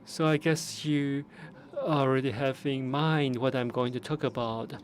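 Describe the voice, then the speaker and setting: A man giving simultaneous interpretation of conference speeches, measured and pausing often to restart. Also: medium pitch, fairly even intonation, slight background noise. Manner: measured, with frequent pauses and restarts.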